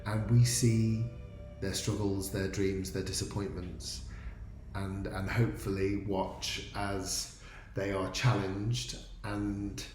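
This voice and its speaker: A man's voice: deep voice, level tone, slow, a little mellow. A man who writes musical theatre, informally explaining his own work. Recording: roomy sound, music behind.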